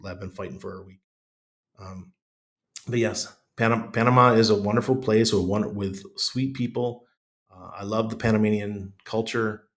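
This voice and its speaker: Deep-voiced, congested middle-aged American man. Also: steady tone, moderate pace. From United States. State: chest congestion. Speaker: podcast guest. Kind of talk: casual conversational interview.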